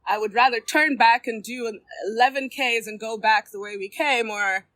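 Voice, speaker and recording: Woman, 30s, heartfelt recovery share to a group in a room, halting and candid. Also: on the high side, a bit bright, no room sound. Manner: candid, halting, with many ums and restarts. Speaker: recovery meeting speaker. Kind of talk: personal share at a recovery meeting. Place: meeting room before a seated circle.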